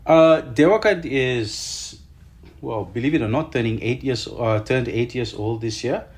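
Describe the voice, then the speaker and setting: A man with a Malaysian accent, a chef answering interview questions in an explanatory, halting way with repeated words. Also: mid-range voice, a little mellow.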